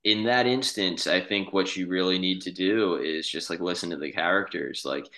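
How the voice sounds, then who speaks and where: Man, very low pitch, sharp bright tone, podcast host, casual discussion, earnest halting delivery.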